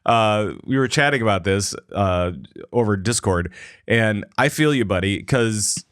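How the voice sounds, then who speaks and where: A man's voice: fairly low pitch, measured pace, a bit bright, clean tone, no echo, studio quality. A young man hosting a casual podcast chat, loose and rambling, full of fillers and restarts. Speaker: podcast host.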